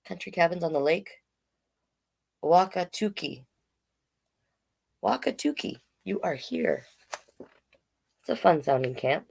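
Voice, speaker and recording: A woman's voice: fairly low pitch, big pitch swings, slow, creaky, long pauses, a little mellow, a little hiss or hum. A woman hosting a storytime, reading picture books aloud in an informal way with chatty asides. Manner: informal, interjects comments while reading. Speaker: drag king storyteller, host.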